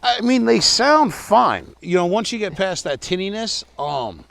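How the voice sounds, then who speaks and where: A man's voice: high-pitched, very animated, a little slow, vocal fry, fairly bright tone. A man chatting casually with a riding partner outdoors on a trail ride.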